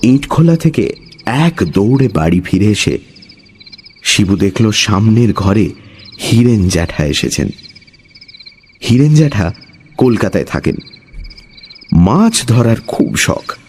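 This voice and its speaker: A man tells a scripted horror story in a dramatic style. His voice is fairly low and slow, with very lively intonation, over night insect ambience. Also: a little hiss or hum. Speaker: storyteller, narrator.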